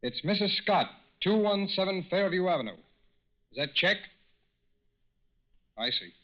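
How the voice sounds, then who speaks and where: A man's voice: sits high, sing-song, measured pace. Clipped, muffled radio G-man. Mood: businesslike. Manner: clipped, matter-of-fact. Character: businesslike, shrewd male FBI agent. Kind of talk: 1940s radio drama acting. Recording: muffled 1940s radio recording.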